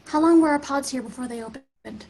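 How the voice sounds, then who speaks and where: A woman's voice: high-pitched, brisk, vocal fry, mellow, a little hiss or hum. A woman playing a capable, caring young castaway with medical training in a sci-fi table read, focused and questioning. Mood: concerned, focused.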